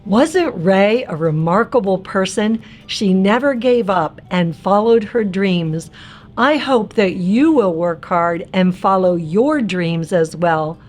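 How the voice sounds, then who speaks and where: A woman narrating a rhyming picture book for young children in an expressive, theatrical and emphatic way. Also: mid-range voice, slow pace, soft background music.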